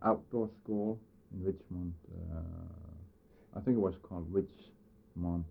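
A Danish-accented man, a schoolteacher, answering interview questions about his family and career with frequent pauses. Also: sits low, fairly even intonation, vocal fry. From Denmark, southern Jutland. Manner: hesitant, pausing often with uh. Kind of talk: oral history interview. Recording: narrow phone-like old tape.

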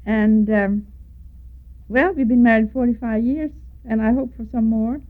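A woman's voice: on the high side, unhurried. An older woman from Denmark with a Danish accent, recalling her life in an oral history interview and spelling out names letter by letter. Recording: tinny phone-like lo-fi tape.

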